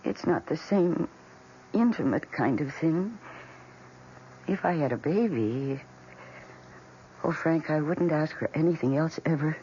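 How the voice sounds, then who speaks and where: Lonely, pleading housewife, old radio recording. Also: female voice, low voice, mellow, highs cut off, slight background noise. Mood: wistful, yearning. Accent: American. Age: middle-aged. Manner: hesitant, pleading, earnest. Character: lonely, pleading, timid middle-aged housewife. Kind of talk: vintage radio drama acting.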